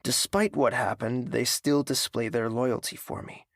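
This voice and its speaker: A man playing a brooding, lovesick king with a volatile temper, delivering a reflective, halting monologue in an audio drama. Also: mid-range voice, steady tone, vocal fry, fairly bright tone, no room sound, clean studio sound. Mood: brooding, restrained.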